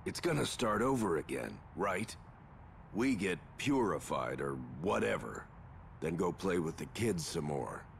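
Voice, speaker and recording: A man playing a brash middle-aged warrior father in a video game, gravelly, gruff and blunt. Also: low-pitched, very animated, measured pace, a little hiss or hum, heavily acted. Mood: weary sarcasm. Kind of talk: dramatic video game voice acting. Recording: loud music behind the voice.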